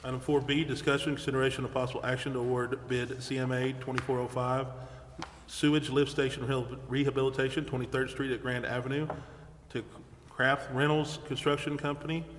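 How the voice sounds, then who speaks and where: A man's voice: mid-range voice, little pitch movement, fairly quick. A man presiding over a city council meeting, speaking in formal procedural style in a council chamber. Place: large indoor council chamber. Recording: echoey council chamber with clear background noise.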